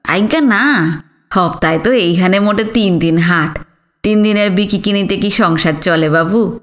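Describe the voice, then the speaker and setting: Woman as village sweet seller, male, East Bengali accent, lowered pitch, respectful, hardworking, storybook dialogue. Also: moderate pace, close to an everyday voice. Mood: plain, resigned. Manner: humble, respectful. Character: humble, hardworking village sweet-shop man, deferential to customers. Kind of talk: audiobook character dialogue.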